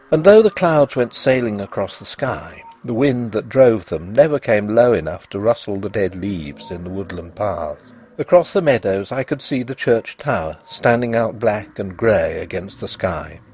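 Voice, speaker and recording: A man narrating a gothic literary tale in an expressive, measured and dramatic audiobook style. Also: fairly low pitch, slightly rough.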